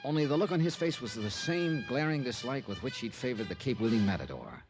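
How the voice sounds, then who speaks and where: An actor playing a tough, wry American investigator, narrating drily in an old-time radio drama. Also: male voice, mid-range voice, lively intonation, fast talker, lightly acted. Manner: dry, sardonic. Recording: vintage radio broadcast, music behind.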